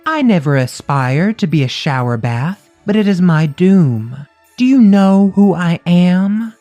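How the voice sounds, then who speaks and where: A male narrator voicing a haughty drowned maiden ghost with a gurgling voice, speaking slowly and loftily. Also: high voice, lively intonation, warm tone, soft background music, voice pushed up. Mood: haughty reproach. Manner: slow, lofty and reproachful. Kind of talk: audiobook character voice.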